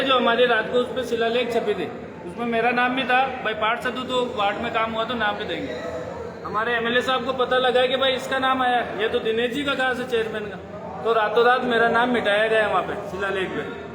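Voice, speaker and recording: A male councillor recounting a grievance in a rambling, aggrieved way at a press conference. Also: extremely high pitch. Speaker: ward councillor. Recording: echoey room with noise.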